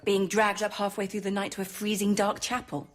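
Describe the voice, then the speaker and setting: An actress playing a bitter, defiant sixteen-year-old noble girl forced to be a novice, sullen and sharp, in a radio drama. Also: mid-range voice, monotone, a little fast, a little hiss or hum, close to an everyday voice. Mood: scornful.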